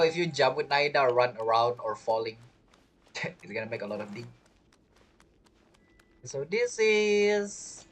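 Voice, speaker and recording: A man's voice: on the high side, fairly bright tone. A man giving casual, slangy, jokey commentary as he plays a video game. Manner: jokey, acts out imagined quotes, slangy. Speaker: game streamer.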